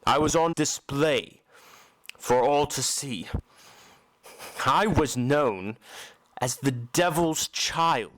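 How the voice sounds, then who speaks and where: A man playing a tormented, embittered masked opera recluse in an audio drama, telling his story in a slow, solemn, dramatic way. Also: medium pitch, a bit bright, no room sound, poor audio. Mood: bitter, pained. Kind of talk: amateur audio drama acting.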